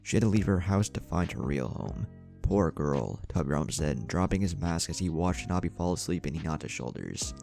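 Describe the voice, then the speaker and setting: A man's voice: low voice, lively intonation, a little fast, creaky, hardly any pauses, darkish tone. A man reading a scripted story as a narrator, voicing the dialogue as he goes. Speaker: fan fiction narrator. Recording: music bed under the voice.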